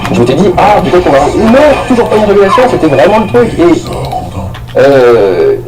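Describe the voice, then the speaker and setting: A man's voice: high-pitched, big pitch swings, normal speed, rough recording. A man giving a casual, rambling film review, full of restarts and slang.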